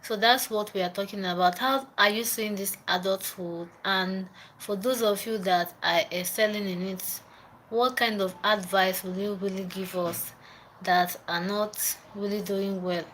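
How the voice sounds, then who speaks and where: A woman's voice: medium pitch, fairly even intonation, unhurried, a bit bright, a little hiss or hum, poor audio. A young woman from Nigeria with a Nigerian accent, chatting casually on a live stream, repeating herself and greeting viewers by name. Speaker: livestreamer.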